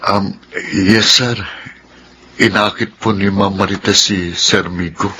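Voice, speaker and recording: A meek, honest Filipino subordinate in a radio drama. His voice is fairly low and deferential, over noisy ambience. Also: steady tone, slow, pushed and bright, full character voice. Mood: fearful, honest.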